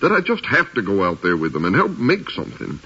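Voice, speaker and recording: An actor playing a restless, eager planter's son in a 1950s radio drama, earnest and pleading. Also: male voice, fairly low pitch, wildly swooping pitch, fairly quick, sharp tone, husky, exaggerated voice. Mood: restless yearning. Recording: dull 1950s radio recording.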